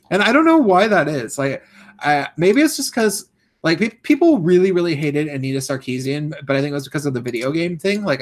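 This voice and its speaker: Hoarse young man ranting on a stream. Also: fairly high pitch. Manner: rambling, full of fillers and restarts. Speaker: YouTuber live-streaming. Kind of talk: casual live-stream rant.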